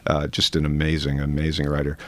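A man in his thirties, born in Ireland with a Canadian accent, chatting as an interview guest in a relaxed, thoughtful way with many fillers. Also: extremely low pitch, brisk. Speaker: poet guest. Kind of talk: casual interview conversation.

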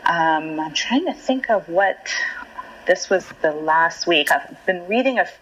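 A woman whose first language is Hebrew, an author speaking in an interview in a thoughtful, hesitant way with many pauses and restarts. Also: lowish voice, animated, fairly bright tone, a little hiss or hum. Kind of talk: reflective conversational interview. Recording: dull lo-fi remote audio.

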